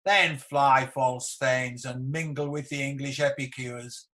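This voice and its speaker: A man's voice: mid-range voice, fairly even intonation, pushed and bright. An amateur actor playing an ageing, embattled tyrant king in a Shakespearean radio play, declamatory, defiant and world-weary. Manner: declamatory, slow, theatrical.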